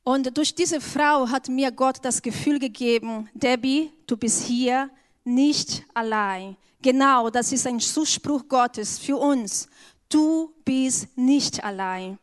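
A woman with a Brazilian accent speaks slowly and earnestly, choosing each word with care. Her voice sits fairly high as she shares a personal testimony before a church congregation. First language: Portuguese. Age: adult with an eleven-year-old daughter. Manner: slow, earnest, careful phrasing.

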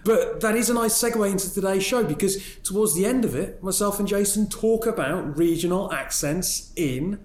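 A man's voice: sits high, moderate pace, few pauses, darkish tone. Man with a lightly raised voice in an echoey room. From northeast England. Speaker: podcast host. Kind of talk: casual chat and interviewing.

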